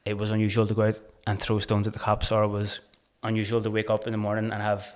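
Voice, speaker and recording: A man's voice: fairly low pitch, completely flat delivery, fast. A man in his thirties with a Belfast accent, a podcast guest talking earnestly in casual conversation, often restarting his sentences. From Northern Ireland. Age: 30s. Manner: earnest, searching, frequent restarts. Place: small bright studio room. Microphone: desk mic on boom arm.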